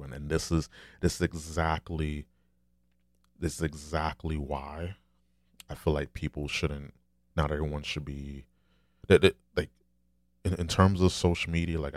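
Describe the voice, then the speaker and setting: A man hosting a solo podcast, talking in a deep, soft voice with a rambling, conversational manner and many restarts. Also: no echo, clean studio sound. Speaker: podcast host.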